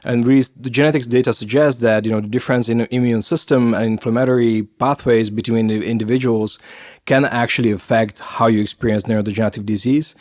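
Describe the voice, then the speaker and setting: A man's voice: medium pitch, fairly even intonation, fast, a little mellow. A middle-aged man from the Czech Republic with a Czech accent, explaining science in a podcast interview with many fillers and restarts. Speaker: biotech founder.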